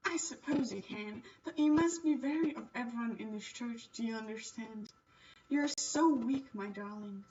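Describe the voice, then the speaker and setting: A woman's voice: on the high side, normal speed, a little mellow, distant mic, lo-fi sound, close to an everyday voice. A woman voicing a stern, pitying mother from a visual novel, grave and admonishing. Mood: worried reproach. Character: stern, pitying, domineering mother of a young girl. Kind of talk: reading visual novel dialogue.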